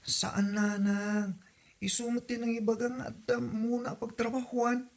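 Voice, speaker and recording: A woman's voice: a little high, level tone, measured pace. Dutiful young Ilocano daughter, played by a woman. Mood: eager, defensive. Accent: Ilocano Filipino. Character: dutiful young adult daughter seeking work. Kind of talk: Ilocano comedy audio drama acting.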